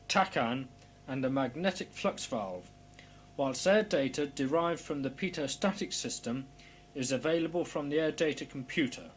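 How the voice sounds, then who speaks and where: Formal male training-film narrator, noisy hum. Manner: formal, measured, precise explanatory delivery. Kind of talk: scripted instructional narration. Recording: clear background noise with low hum.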